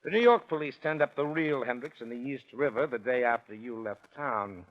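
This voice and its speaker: An actor playing a wry, knowing police detective, explaining with irony in 1940s radio acting style. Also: male voice, mid-range voice, animated, normal speed, lightly acted. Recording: muffled 1940s radio recording.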